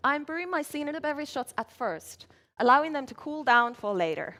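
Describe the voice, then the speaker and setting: A woman from Finland, giving a measured competition presentation as a barista at a live event. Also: high-pitched, very animated, pushed and bright. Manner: measured, clear presenting while working.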